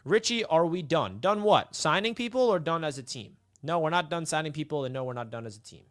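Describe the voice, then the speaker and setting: A man's voice: on the high side, expressive, brisk, a bit bright. A man hosting a casual live sports stream, talking straight to his chat in a hyped, chatty way. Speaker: livestream host. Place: outdoors in the sun.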